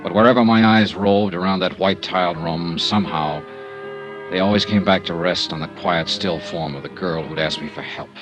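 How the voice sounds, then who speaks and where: An actor playing a wry, hard-boiled investigator with an American accent, sardonic and brooding, in 1950s radio drama style. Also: male voice, deep voice, normal speed, dull audio, over music, lightly acted. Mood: brooding narration. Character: wry, hard-boiled male insurance investigator narrating his case. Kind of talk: 1950s radio drama acting and first-person narration.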